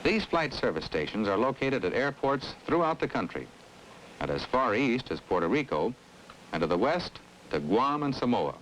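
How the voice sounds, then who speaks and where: An American man acting as an affable, earnest air traffic specialist, explaining in a measured way in an old educational film. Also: mid-range voice, big pitch swings, fairly bright tone, low-quality recording. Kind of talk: scripted 1960s educational film dialogue and narration. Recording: old 1960s film soundtrack, faint noise.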